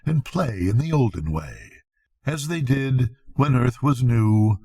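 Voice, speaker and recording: A man's voice: medium pitch, sing-song, slow pace, warm tone, husky, dry recording, clean studio sound. An older man narrating a bedtime story like a grandfather, with solemn, dramatic phrasing.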